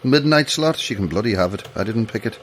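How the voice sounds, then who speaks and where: A man plays a wry, jumpy Irish late-night radio host in an audio drama. His pitch moves around in a lively way as he grumbles sardonically. There is clear ambient noise behind him. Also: brisk, natural voice. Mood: maudlin, grumbling. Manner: sardonic grumbling, mild swearing. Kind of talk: naturalistic audio drama dialogue.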